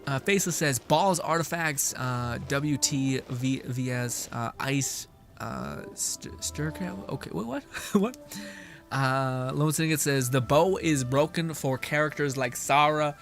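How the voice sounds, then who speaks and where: A young man streaming a video game, giving rambling, excitable commentary full of fillers, swearing and chat replies. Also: mid-range voice, a little slow, faint music behind. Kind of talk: casual gaming stream commentary.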